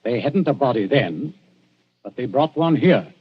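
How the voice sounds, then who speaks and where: An actor playing a genial, sly, insinuating police inspector in a 1940s radio drama, with folksy, wheedling politeness. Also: male voice, medium pitch, lively intonation, unhurried, breathy edge. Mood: slyly menacing. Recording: muffled 1940s radio broadcast, faint music.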